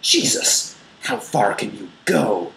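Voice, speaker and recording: A man voicing a brooding, commanding businessman lover from a romance novel in a slow, breathy murmur. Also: lowish voice, sing-song, fairly bright tone, full character voice. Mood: seductive, commanding. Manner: slow, staccato, growling. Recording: roomy echo, faint noise.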